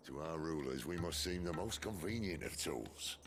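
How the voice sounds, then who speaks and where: A middle-aged rebel outlaw for a game cutscene. His voice sits extremely low and crackles with gravel, swinging widely as he drawls with wry, theatrical defiance. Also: lively intonation, moderate pace. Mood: bitter, resolute. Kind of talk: video game cutscene acting. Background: music.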